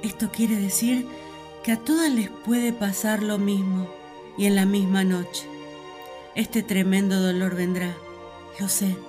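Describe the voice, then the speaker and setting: A woman's voice: medium pitch, flat delivery, slow, dark tone, faint music behind, lightly acted. A woman playing Eve, an earnest, talkative young first woman, telling her story in a radio play. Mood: wistful. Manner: earnest, wistful, storytelling to the listener.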